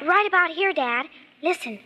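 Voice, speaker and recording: A woman's voice: very high voice, expressive, normal speed. An actress playing a desperate, pleading young girl in an old-time radio drama, insistent and breathless. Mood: anxious, insistent. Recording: noisy old radio recording.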